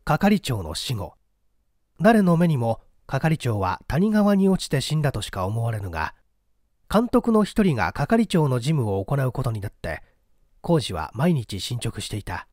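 Guy reading a mystery audiobook, very lively pitch, dry sound. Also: a little slow, dry recording. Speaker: audiobook reader. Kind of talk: dramatic scripted narration of a mystery story.

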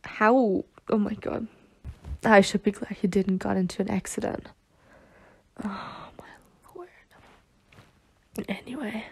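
A woman's voice: medium pitch, fairly even intonation, slow pace, gravelly, pauses often, breathy edge, exaggerated voice. A woman acting a protective, exasperated, foul-mouthed twin sister who is tender with her girlfriend, in an ASMR roleplay. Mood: exasperated muttering. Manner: sweary, sighing, half-muttering. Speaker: roleplay voice actor.

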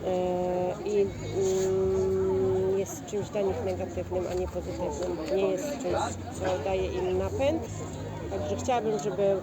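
A woman giving a reflective, spontaneous interview answer, pausing to find her words. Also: medium pitch, flat delivery. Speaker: volunteer association member. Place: outdoor volunteering fair in a park. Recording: loud outdoor fair crowd noise.

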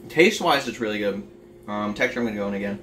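A man's voice: lowish voice, lively intonation, quick pace, a bit bright. Young man, casual cooking vlogger, offhand and chatty, picked up from across an echoey kitchen. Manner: chatty, offhand, lots of fillers. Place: home kitchen. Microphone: camera on the counter, across the kitchen. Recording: roomy, distant camera audio with noise.

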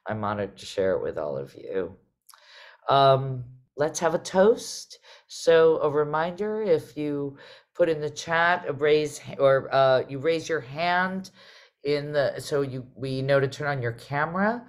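A middle-aged woman hosting a casual online conversation, speaking reflectively and haltingly over a video call. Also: deep voice, expressive. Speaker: host, artistic director.

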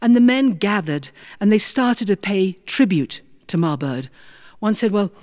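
Female storyteller, animated and expressive, emphatic delivery with wide pitch movement, close dry sound. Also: no room sound. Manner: expressive, dramatic pauses and emphatic repetition. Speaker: storyteller, former prison chaplain. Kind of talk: live-style personal storytelling with acted dialogue.

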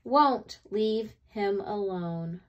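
A woman reading a children's picture book aloud expressively, with deliberate dramatic pauses between phrases. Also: mid-range voice, dull audio. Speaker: read-aloud storyteller.

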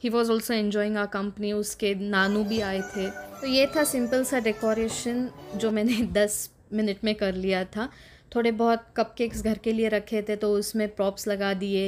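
Chatty woman narrating a vlog. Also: medium pitch. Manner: mixes Hindi with English phrases, relaxed and chatty. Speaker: vlogger, mother. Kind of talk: casual voice-over narration.

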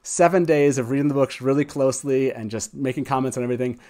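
A man's voice: mid-range voice, fairly even intonation, fast, warm tone. An enthusiastic, effusive man in his forties hosting a casual livestream chat, speaking into a close studio mic. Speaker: show host. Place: small wood-panelled studio indoors. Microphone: close studio mic on boom arm.

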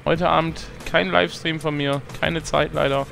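Hoarse man chatting over gameplay. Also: fairly high pitch, fairly even intonation, normal speed, a bit bright, soft background music. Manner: chatty, self-mocking, thinks aloud with fillers. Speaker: let's play host. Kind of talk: casual gameplay commentary.

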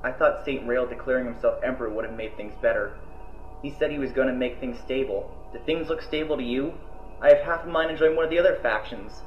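A man's voice: mid-range voice, quick pace, slight background noise, lightly acted. A man playing a grumbling, world-weary cargo ship pilot in an audio drama, talking casually. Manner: casual grousing. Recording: dull, echoey sound with music.

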